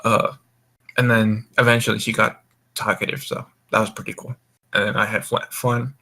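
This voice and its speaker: Gravelly young man, chatting casually. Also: lowish voice, flat delivery, moderate pace, pushed and bright. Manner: laid-back, frequent swearing. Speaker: host of a gaming voice call. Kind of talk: casual group chat, rambling. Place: online voice call.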